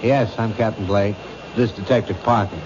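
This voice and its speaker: A man's voice: on the low side, steady tone, moderate pace, natural voice. A man acting as a skeptical, wisecracking police captain in an old radio crime drama, slangy and dismissive. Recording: muffled, noisy old radio recording.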